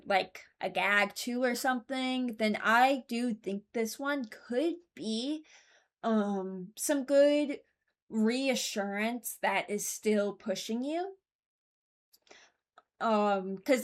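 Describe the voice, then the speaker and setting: A woman hosting a video, giving casual, rambling commentary and hesitating as she thinks aloud. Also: fairly high pitch. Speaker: video creator and host.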